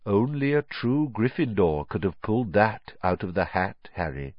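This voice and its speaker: A calm, kindly old wizard headmaster, as a male narrator puts him on, talking softly and slowly with a lively, rising and falling lilt. His low voice has a husky edge. The recording is dry and a bit muffled, like a storybook audiobook. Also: dry recording. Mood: calm, wise. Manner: gentle, measured, soft-spoken.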